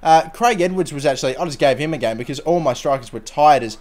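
A young man with a sore, fading voice, casually commentating his own football video game career, rambling and then bursting into excitement at goals. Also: on the high side, fast talker. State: sore throat, losing his voice. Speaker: gaming channel host.

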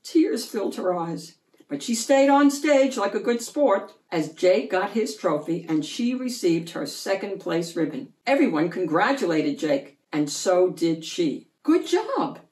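A woman reading a children's story aloud expressively, with deliberate emphasis on key words. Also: lowish voice, animated, a little mellow. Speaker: children's book reader. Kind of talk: expressive picture book read-aloud. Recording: roomy echo, distant microphone.

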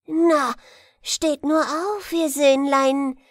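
A woman voicing a gaunt old witch from a storybook, hoarse and cackling, wheedling with false motherly kindness. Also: high-pitched, steady tone, no room sound, studio quality, pitched above the performer's own voice. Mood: cackling, mock-kindly. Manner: drawn-out, wheedling, mock-kindly. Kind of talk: storybook character voice.

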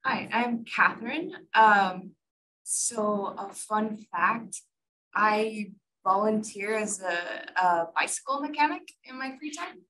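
A woman giving a calm self-introduction to a class. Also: mid-range voice, slow, far from the mic, rough recording. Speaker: summer school student.

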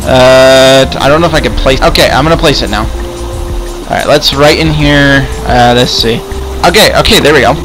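A man chatting casually in improvised game roleplay, rambling and often repeating words haltingly. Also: medium pitch, rough recording. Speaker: host and player in a roleplay game video. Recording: music behind the voice. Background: music.

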